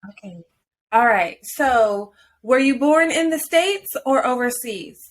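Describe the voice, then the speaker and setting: Slow-talking playful woman host. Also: high-pitched, animated, a little hiss or hum, rough recording. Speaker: podcast host. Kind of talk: casual interview hosting.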